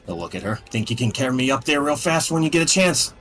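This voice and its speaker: A man's voice: mid-range voice, very animated, quick pace, a bit bright, soft background music. A man chatting casually and bluntly as a player in a tabletop role-playing game.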